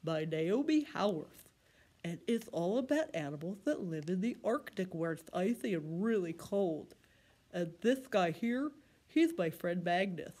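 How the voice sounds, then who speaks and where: A woman's voice: on the low side, animated, measured pace, a little mellow. A woman casually introducing a picture book to children as a library storytime host.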